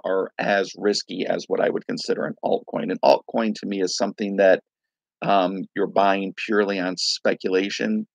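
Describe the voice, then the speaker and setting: A man with a raspy voice from a night of singing, hosting a casual crypto livestream, rambling loosely and greeting viewers by name. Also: sits low, no room sound, muffled recording. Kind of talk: casual live-stream chat.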